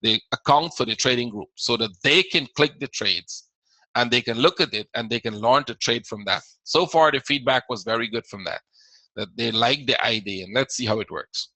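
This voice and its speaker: Man with a Caribbean accent, bright tone, dry sound, chatty explaining, repeats words. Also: medium pitch, moderate pace, no echo, low-quality recording. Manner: explains casually, repeats words, reads out viewer questions. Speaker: trading webinar host. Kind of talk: live webinar Q&A.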